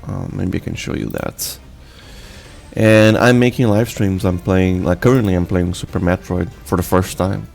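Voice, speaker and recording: A young adult man whose first language is Portuguese, speaking English with a Brazilian accent and giving a casual, halting channel update full of fillers and restarts. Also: deep voice, fairly even intonation, gravelly, darkish tone, faint music behind. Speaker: channel host.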